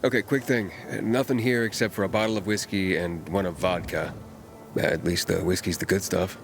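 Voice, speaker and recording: A man's voice: deep voice, steady tone, moderate pace, soft background music. An actor playing a casual, wry male scavenger in a video game. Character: young male scavenger explorer, casual, wry, rough-edged.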